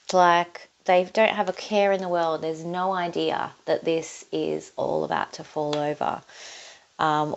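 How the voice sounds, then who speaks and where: A woman's voice: deep voice, creaky. Chatty Australian woman reading tarot cards. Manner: chatty, thinking aloud while drawing cards. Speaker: tarot reader. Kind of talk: casual tarot reading to camera. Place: indoors at a table, overhead camera on her hands.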